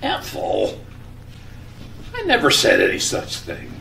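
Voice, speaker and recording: A man's voice: mid-range voice, huge pitch swings, slow pace, vocal fry, sharp tone, heavily acted. A man playing a flustered, forgetful husband in an old-time radio comedy skit, stammering and exasperated. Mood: indignant. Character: flustered, forgetful husband leaving on a business trip. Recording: echoey room, distant mic, background noise.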